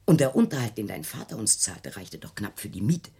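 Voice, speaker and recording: Husky, low-voiced, controlling mother. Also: big pitch swings, dark tone, heavily acted. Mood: defensive, agitated. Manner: measured, insistent, self-justifying. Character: controlling, defensive mother of a fearful grown daughter. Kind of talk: intimate German radio play acting.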